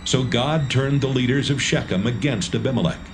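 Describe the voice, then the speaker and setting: A man narrating a dramatised Bible reading in a steady, measured storytelling manner. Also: mid-range voice, noisy background. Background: music score.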